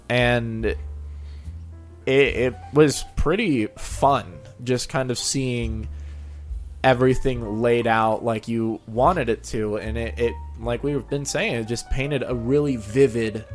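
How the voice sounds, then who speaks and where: A man hosts a casual interview in a conversational way. His voice sits at a middle pitch, and music plays faintly behind him. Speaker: show host, fan fiction reader.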